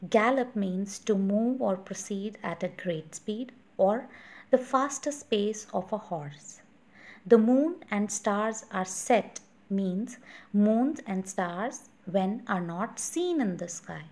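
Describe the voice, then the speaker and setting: A woman with an Indian accent teaching children, explaining a poem deliberately and repeating herself as she goes. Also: medium pitch, darkish tone. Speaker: English teacher.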